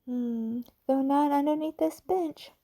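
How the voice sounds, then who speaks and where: A woman voicing a childlike, excitable little sheep in a children's puppet show, with theatrical exclamations. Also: high voice, slow, gravelly, exaggerated voice. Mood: searching, worried.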